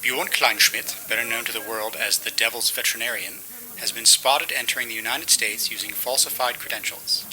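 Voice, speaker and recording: An actor playing a formal, portentous announcer delivering spy briefings in a parody audio drama. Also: male voice, medium pitch, steady tone, brisk, bright, forceful tone, low-quality recording. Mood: grave, official. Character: crisp, grave male mission-briefing announcer. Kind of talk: dramatic scripted narration, spy-show parody.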